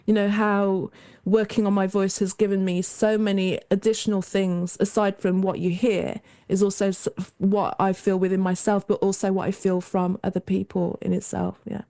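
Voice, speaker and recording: A woman's voice: medium pitch, little pitch movement, moderate pace, mellow. A woman with a young-sounding voice, a guest in a casual interview, speaking reflectively in long flowing thoughts. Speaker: guest, mentor to creative women.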